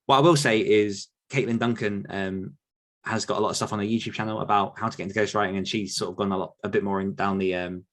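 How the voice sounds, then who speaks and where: Man, English accent, low voice, casual Q&A, rambling, filler words. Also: a bit bright. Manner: rambling, many fillers and restarts. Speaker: podcast host answering questions. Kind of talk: casual Q&A chat.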